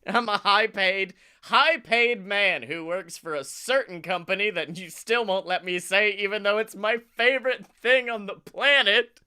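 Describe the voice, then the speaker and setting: A man's voice: high voice, unhurried, few pauses, sharp tone, smooth. A man co-hosting a comedy podcast, chatting casually in a playful, teasing manner. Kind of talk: casual comic chat.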